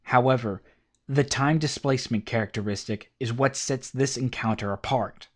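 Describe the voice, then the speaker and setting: A man narrating a horror story in a steady, scripted, documentary-like way. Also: medium pitch, normal speed. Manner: steady documentary-style telling.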